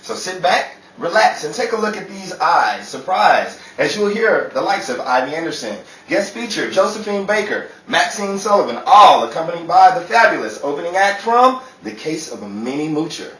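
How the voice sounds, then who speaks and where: A man's voice: on the high side, sing-song, normal speed, few pauses, a bit bright. A man playing a bumbling, self-important stage magician in a theatrical old time radio comedy style. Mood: grand showman. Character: bumbling, boastful male stage magician and show announcer. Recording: roomy distant mic, noisy crowd behind.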